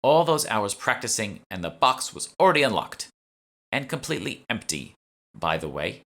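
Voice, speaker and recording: A man voicing a cocky, sharp-tongued woman con artist in an audiobook, with a breezy, haughty manner. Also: on the low side, big pitch swings, moderate pace, pitched above the performer's own voice. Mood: flat, cocky. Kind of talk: audiobook character dialogue.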